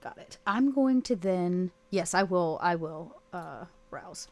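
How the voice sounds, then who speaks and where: A woman's voice: fairly low pitch, expressive, takes it slowly. A woman playing a tabletop roleplaying game, casually describing her character's actions and thinking aloud with some hesitation. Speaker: player in a tabletop roleplaying podcast.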